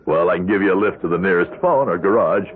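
A man's voice: sits low, animated, quick pace, a little hiss or hum. A man playing a despairing, earnest ordinary man in a 1970s radio drama. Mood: helpful. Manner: brooding inner monologue, earnest pleading when threatened. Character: despairing ordinary man, decent but rationalizing, narrating his misdeeds. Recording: thin phone-like vintage radio broadcast.

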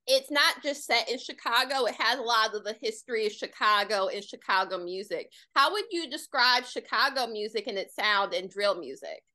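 A woman's voice: on the high side, pushed and bright. A woman in her twenties from Detroit, asking casual interview questions over a video call in a friendly, curious way.

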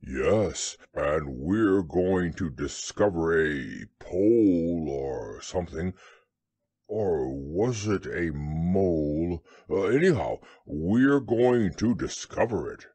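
A man voicing a slow-witted, gentle, happy toy bear in a storybook, naive and hesitant. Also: sits low, animated, breathy edge, no echo, voice pushed down, heavily acted. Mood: muddled, earnest.

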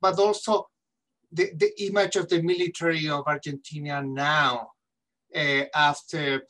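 A man's voice: fairly high pitch, level tone, fairly bright tone, recorded from a distance. A middle-aged man from Chile speaks English with a Chilean Spanish accent, stopping and repeating small words as he feels his way through a long question. He talks into a laptop on a video call from a home study, his voice sitting a little back from the microphone. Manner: halting, repeats words while searching for them. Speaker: audience questioner. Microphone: laptop webcam mic on video call.

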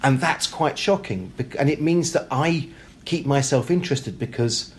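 A man's voice: mid-range voice, moderate pace. Male author interviewee, conversational and emphatic, voicing imagined dialogue as he explains, light room noise behind. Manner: emphatic, acts out imagined quotes and questions. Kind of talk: animated, reflective conversational interview. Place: hotel.

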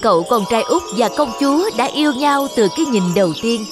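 A woman's voice: fairly high pitch, lively intonation, a little fast. A woman reading scripted fairy-tale narration for children. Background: background music.

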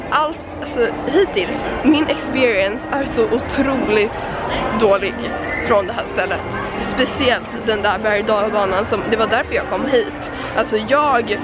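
A woman's voice: fairly high pitch, roomy sound, poor audio. A young woman vlogging into a handheld camera, complaining with many fillers. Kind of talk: casual travel vlog, then sit-down rant to camera. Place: outdoor theme park and parking lot, then a tiled bathroom. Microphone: handheld camera at arm's length.

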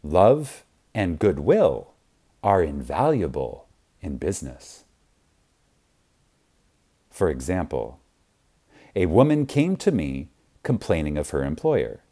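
A man narrating an audiobook in a reverent, emphatic manner with deliberate, dramatic pauses. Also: low-pitched, sing-song, mellow.

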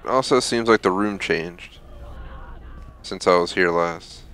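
A man with a low, bright voice comments casually on the game he is playing. He talks as a video host would, with faint music behind him. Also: a little slow.

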